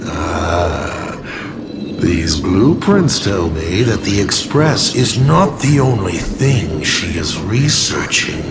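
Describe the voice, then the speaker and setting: A man's voice: low voice, sing-song, takes it slowly, heavily acted. An actor voicing a smug, sardonic villain in a video game, theatrical and mockingly urbane as he drawls out his taunts. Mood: sardonic, musing. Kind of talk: dramatic video game voice acting. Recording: loud music under the voice. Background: loud background music.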